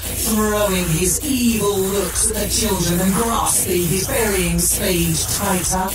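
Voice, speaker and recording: An actress playing a menacing old goblin queen in an audio drama, imperious and taunting in her questioning. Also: on the low side. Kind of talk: theatrical audio drama acting. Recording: cavernous echo, distant, music behind.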